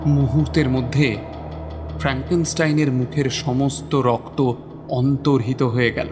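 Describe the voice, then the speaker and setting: A man's voice: mid-range voice, steady tone, normal speed, music in the background. A man narrating a scripted story as a dramatic narrator.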